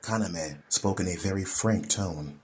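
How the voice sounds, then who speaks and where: A man narrating a scripted audiobook story in a measured, deliberate, dramatic storytelling style. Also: sits low, crowd noise.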